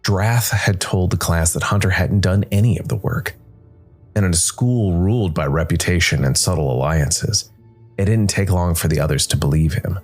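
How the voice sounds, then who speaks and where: A man narrating a scripted dark fantasy story in a measured way, with dramatic pauses. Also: deep voice. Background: background music.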